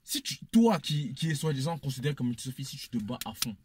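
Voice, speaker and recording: A man's voice: mid-range voice, very animated, quick pace, a little mellow, slight background noise. A man, giving his opinion emphatically in a casual debate and repeating phrases for effect. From Congolese descent. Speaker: panel speaker.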